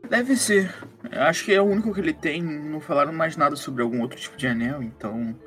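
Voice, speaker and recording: A man's voice: fairly high pitch, quick pace, a bit bright, soft background music. A man with a Brazilian accent, a role-playing game player chatting casually in and out of character.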